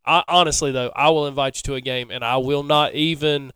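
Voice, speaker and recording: A man's voice: mid-range voice, steady tone, brisk, a bit bright, clear, resonant tone. An American man co-hosting a sports podcast, bantering casually in a teasing, argumentative way.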